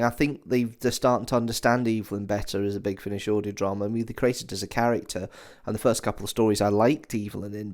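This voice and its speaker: A man's voice: lowish voice, a little mellow. A man hosting a review, talking in a chatty, emphatic and enthusiastic conversational way. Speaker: host reviewer. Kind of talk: enthusiastic audio drama review.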